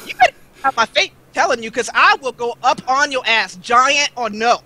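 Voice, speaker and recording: Woman acting as indignant Bronx tough lady, bright forceful voice, confrontational, loud, mic breaking up. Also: high voice, animated, moderate pace, voice pushed up, full character voice. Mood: outraged, threatening. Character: brash, profane, combative woman from the Bronx. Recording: headset mic cutting out when loud.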